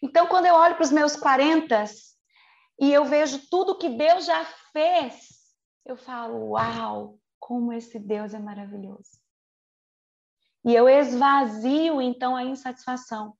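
A woman in her forties with a Brazilian Portuguese accent gives a conversational sermon, stretching key words for emphasis between quick chatty asides and checking in with her listeners through rhetorical questions. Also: high-pitched, creaky, highs cut off. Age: 40s. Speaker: preacher.

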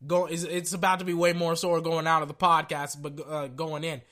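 A young man hosting a casual sports podcast, brash and excitable, talking through a sore throat as he loses his voice. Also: fairly high pitch, moderate pace. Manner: brash and excitable, hypes with "ladies and gentlemen".

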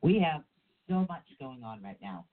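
A woman co-hosting a talk show, a bit sick with a rough, raspy voice, chatty and rambling with many restarts. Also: a bass voice, very animated, moderate pace, crowd noise. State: under the weather, rough voice. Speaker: co-host of a paranormal talk show. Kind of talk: casual chat. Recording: phone-like narrow sound.

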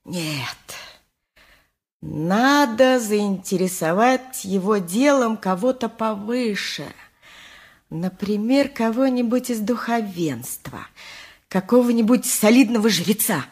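An actress in a radio play playing the long-suffering, shrewd wife of a poor donkey driver, with a husky voice and a plaintive, wry, emphatic manner. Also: female voice, mid-range voice, animated, slow, darkish tone, exaggerated voice. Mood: shrewd, determined. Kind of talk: radio play acting, 1980s, dramatic monologue.

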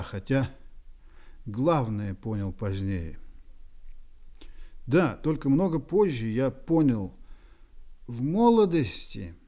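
A man plays a reflective journalist narrating a radio drama. His tone is mellow and relaxed, his pace very slow. He sounds wistful and deliberate. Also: medium pitch, close to an everyday voice. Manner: pensive, deliberate. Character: reflective male journalist-writer, wistfully remembering his friend.

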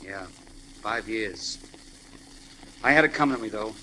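An actor playing a blunt, defensive, rough-spoken American ex-convict in a 1940s radio drama. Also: male voice, fairly low pitch, a little slow, bright, forceful tone. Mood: earnest, bitter. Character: touchy, earnest male ex-convict, rough-spoken and slangy. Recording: noisy old radio broadcast recording.

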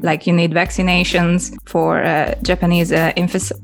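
Woman, Hungarian accent, rambling chatty co-host, gravelly, faint music under her. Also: lowish voice, flat delivery, normal speed. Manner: rambling, chatty storyteller with fillers. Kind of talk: casual chat.

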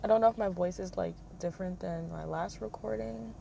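A young woman hosting her own podcast, talking casually in a monotone, rambling manner with lots of fillers. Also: fairly quick, creaky, slight background noise. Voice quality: monotone, low-key delivery. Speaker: podcast host. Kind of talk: casual personal monologue.

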